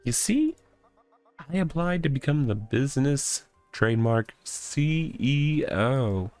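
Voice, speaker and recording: A man voicing a rambling, oddly proud corporate mascot stuck in a cardboard box, halting and earnest, in a comedic visual novel read-aloud. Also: mid-range voice, sing-song, a little mellow, no echo, voice pushed up. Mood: confiding, proud. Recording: music behind the voice.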